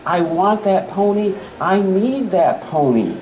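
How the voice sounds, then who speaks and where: Man putting on a pleading, higher-pitched little girl. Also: animated, slow, darkish tone, poor audio. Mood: insistent. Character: pleading, nagging little girl who wants a pony. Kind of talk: storybook poem read aloud. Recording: live event with faint room noise.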